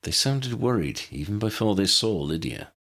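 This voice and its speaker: A man's voice: on the low side, lively intonation, moderate pace, fairly bright tone. A man reading scripted story narration as an audiobook narrator.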